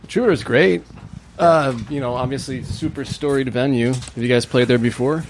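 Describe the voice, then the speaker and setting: A man's voice: medium pitch, fairly quick, a little hiss or hum. A man hosting a podcast, talking casually with lots of fillers and friendly questions. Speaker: podcast host. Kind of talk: casual interview and spoken intro.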